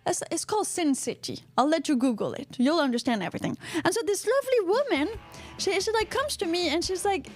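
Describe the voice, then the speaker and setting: A woman's voice: very high-pitched, big pitch swings, a little fast, no echo, soft background music. A woman from Quebec with a Quebecois accent, co-hosting a casual live podcast, rambling and joking as she reads out chat comments. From Quebec, Canada. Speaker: podcast co-host.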